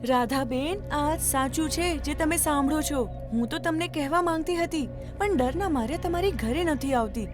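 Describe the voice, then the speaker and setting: A woman's voice: high voice, normal speed, voice pushed up, natural voice. A woman voicing a nervous, gossiping village neighbour in a children's story, confiding and fearful. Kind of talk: children's cartoon story dialogue. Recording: music bed behind voice.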